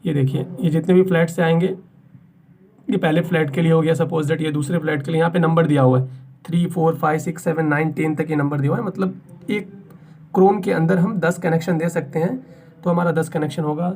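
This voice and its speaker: High-pitched man giving a technical tutorial. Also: slight background noise. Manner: explanatory, repeats points, mixes in English technical terms. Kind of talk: spoken hands-on technical tutorial.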